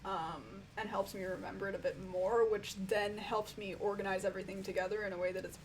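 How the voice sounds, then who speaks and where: Croaky-voiced woman chatting casually. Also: mid-range voice, steady tone, fairly quick, a little hiss or hum, rough recording. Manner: rambling, filler-heavy, frank and swearing. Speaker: music reviewer answering viewer questions. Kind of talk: casual Q&A chat.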